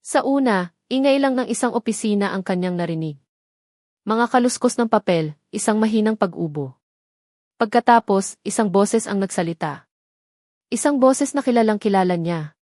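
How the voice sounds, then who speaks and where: A woman's voice: medium pitch, lively intonation, normal speed, pauses often, a little mellow, no echo, studio quality. A woman reading a scripted dramatic story as its narrator.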